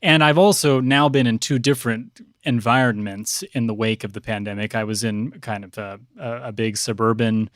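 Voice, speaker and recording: A man speaking as a priest and interview guest, reflective, with measured, halting, thoughtful phrasing. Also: mid-range voice, steady tone, no room sound, clean studio sound.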